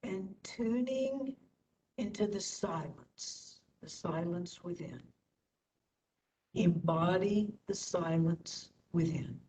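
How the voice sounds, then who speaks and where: Very slow-speaking woman guiding meditation. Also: expressive, warm tone. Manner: slow, pausing between short phrases. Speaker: meditation and yoga instructor. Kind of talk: guided meditation. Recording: distant mic, dull, faint rumbling noise.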